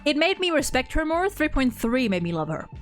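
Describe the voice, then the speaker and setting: A woman's voice: sits high, sing-song, a little fast, faint music behind. A woman in casual gaming fan chat, co-hosting with effusive delivery and frequent swearing. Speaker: co-host.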